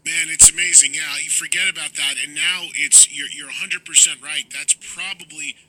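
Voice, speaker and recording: A man's voice: on the high side, fairly even intonation, normal speed, pushed and bright, poor audio. A man hosting a radio interview, talkative and emphatic, telling stories at length. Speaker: radio host.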